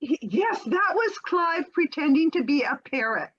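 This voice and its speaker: A woman reads a breezy, evasive housewife who chatters airily. Her voice sits high and swoops widely, with a quavering, giggly edge. The sound is dull and lo-fi, like a home video call. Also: sing-song, unhurried, fairly bright tone, heavily acted. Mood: airy. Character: flighty, evasive middle-aged housewife, breezily unconcerned. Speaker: reader in an amateur readers theater performance. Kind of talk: comic readers theater scene read over a video call. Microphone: home video call mic.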